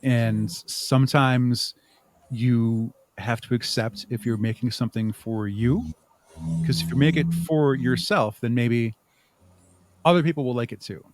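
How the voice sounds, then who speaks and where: A man's voice: medium pitch, measured pace, darkish tone, slight background noise. A man speaking as an interview guest in a casual, reflective way, sometimes searching for his words. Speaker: podcast guest, haunt makeup artist and audio drama creator.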